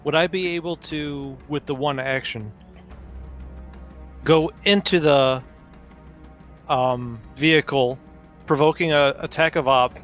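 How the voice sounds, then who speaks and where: A man's voice: fairly high pitch, fairly even intonation, slow pace, pauses often, fairly bright tone. A man playing a tabletop roleplaying game, chatting casually about his moves. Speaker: player. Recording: music behind the voice.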